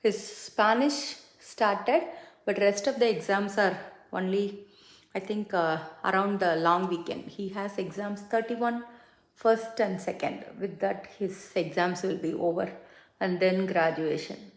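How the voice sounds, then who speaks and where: A woman's voice: mid-range voice, measured pace. A middle-aged woman whose first language is Tamil, chatting casually with many fillers as she does her makeup on a livestream. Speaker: makeup consultant and livestream host. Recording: echoey room.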